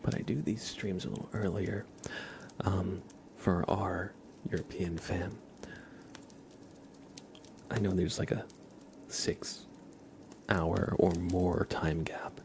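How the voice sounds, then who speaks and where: An American man hosting an ASMR livestream, speaking in a soft, breathy whisper with an unhurried, gently reassuring manner. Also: low-pitched, steady tone, a little hiss or hum. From East Coast of the United States, near New York. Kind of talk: whispered ASMR, casual livestream chat and card reading.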